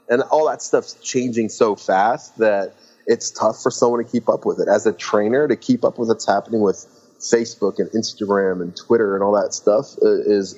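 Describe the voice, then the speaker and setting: A man's voice: lowish voice, darkish tone, slightly rough, highs cut off. A man from Lebanon, raised in California, speaking as a podcast guest on fitness business, telling it with restarts and acted-out thoughts. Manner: talks in quick bursts with restarts, acts out trainers' thoughts. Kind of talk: casual podcast interview.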